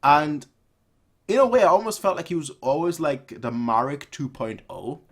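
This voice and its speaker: A man in his twenties giving casual, off-the-cuff reaction commentary in an animated, rambling way, repeating phrases for emphasis. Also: fairly high pitch, moderate pace, slightly rough. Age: 20s. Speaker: reaction video host. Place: small room at home, indoors.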